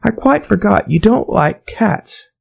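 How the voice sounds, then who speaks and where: A man's voice: high-pitched, expressive, takes it slowly, gravelly, pitched above the performer's own voice, heavily acted. A man voicing a polite, chatty, easily flustered little girl in a storybook, earnest and wordy. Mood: soothing, chatty. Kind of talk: storybook character voice.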